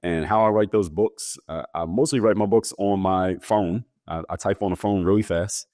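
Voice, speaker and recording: A man with a very deep voice answers interview questions as a guest coach. He explains things in a steady, matter-of-fact way. The sound is dry and close. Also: moderate pace, no room sound.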